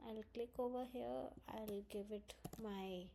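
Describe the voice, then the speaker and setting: A woman presenting a software tutorial, explaining each step in instructional narration. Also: a little high, fairly even intonation, unhurried, vocal fry, a little hiss or hum. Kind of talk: screen-recorded software walkthrough, instructional narration.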